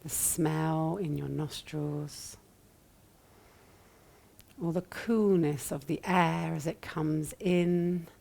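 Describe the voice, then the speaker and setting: A woman leading a guided meditation as a teacher, speaking gently and soothingly in short phrases with long pauses, her voice soft and at times breathy. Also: sits low. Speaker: yoga nidra meditation teacher.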